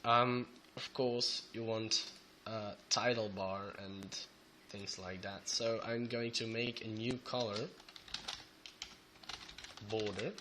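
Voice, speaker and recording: A young man with a Belgian Dutch accent who is a bit sick, talking haltingly through a coding tutorial with many fillers. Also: lowish voice, fairly even intonation, background noise. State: sick, voice sounds off. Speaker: programming tutor. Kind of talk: screen-recorded coding tutorial. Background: keyboard typing and clicks.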